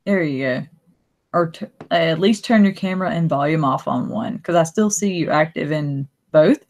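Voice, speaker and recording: A woman's voice: low-pitched, moderate pace, darkish tone. A woman from Alabama with a Southern accent, hosting a casual live stream chat and laughing often.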